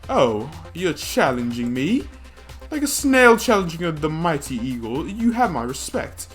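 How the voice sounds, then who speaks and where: A man's voice: a little high, big pitch swings, unhurried, soft background music. A man voicing a proud, competitive woman chef with a haughty, formal, grandiose manner.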